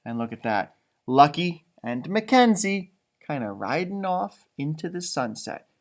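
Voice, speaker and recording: A man reading a storybook aloud to young children, pausing for musing, simply worded asides in an expressive manner. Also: a little high. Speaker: storybook reader, elementary school teacher.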